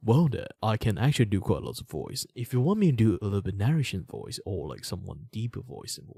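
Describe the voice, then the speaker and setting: A young Malaysian man chatting on a live stream, his Malaysian accent tilted a little toward British English. His pitch jumps around a lot and he laughs easily. The close mic sounds completely dry. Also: sing-song, fast, creaky, dark tone, husky, no room sound. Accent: Malaysian, lightly British-leaning. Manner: chatty, self-deprecating, laughs often. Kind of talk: casual live-stream chat.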